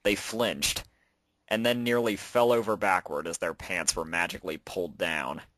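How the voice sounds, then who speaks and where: A man's voice: low-pitched, steady tone, normal speed, a bit bright, no room sound. A man reading out a story as its narrator, in a scripted storytelling style. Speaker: narrator and fan fiction author.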